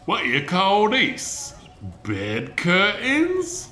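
A seedy old male fence from a radio play, slow and swooping in pitch. He sounds incredulous, in a roomy, noisy recording. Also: wildly swooping pitch, pushed and bright, heavily acted. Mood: shady, astonished. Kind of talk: audio drama acting.

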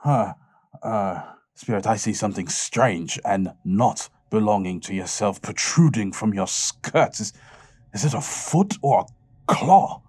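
An actor playing a petulant, excitable old miser in an audio drama, with blustery theatrical delivery. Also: male voice, lowish voice, measured pace, breathy edge, dry recording. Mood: frightened.

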